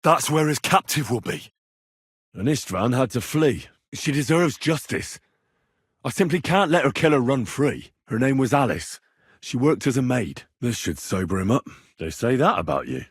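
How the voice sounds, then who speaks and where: A man's voice: medium pitch, expressive, normal speed, slightly rough, no echo, clean studio sound. A man playing a stubborn, earnest young man in a video game, speaking plainly and probingly as he investigates. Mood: determined, probing, then dry, exasperated. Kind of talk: video game character dialogue.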